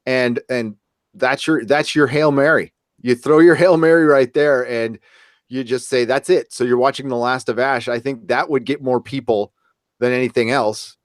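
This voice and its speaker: A man's voice: medium pitch, fairly even intonation, normal speed, dry recording, studio quality. A man hosting a podcast in casual discussion, speaking bluntly and informally and repeating words for emphasis. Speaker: podcast host.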